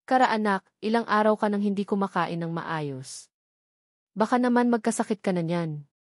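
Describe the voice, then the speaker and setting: A woman's voice: mid-range voice, normal speed, dry recording, studio quality, lightly acted. A woman voicing a caring, earnest mother who counsels her grown daughter in a novel reading. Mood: worried.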